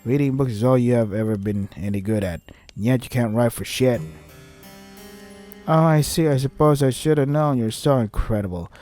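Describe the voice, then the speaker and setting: Irritated, sweary man with a bright voice. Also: mid-range voice, moderate pace, soft background music. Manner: irritated, sarcastic, swears heavily. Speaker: let's play host. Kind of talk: reading a visual novel aloud with ranting commentary.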